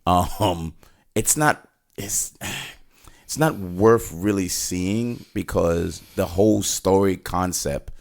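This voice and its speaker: A man's voice: sits low, takes it slowly, gravelly, a little mellow. A middle-aged man co-hosting a casual film review, talking in a rambling, opinionated way. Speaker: podcast host giving a film review. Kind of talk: casual conversational movie review.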